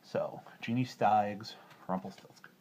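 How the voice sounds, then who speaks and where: A man reading a fairy tale aloud as an expressive storyteller. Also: on the low side, unhurried.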